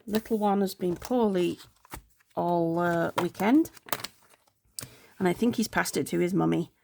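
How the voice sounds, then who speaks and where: A woman's voice: lowish voice, a little slow, vocal fry, noisy background. A woman chatting casually while planning, congested and with a sore throat, rambling and sometimes murmuring to herself. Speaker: planner vlogger.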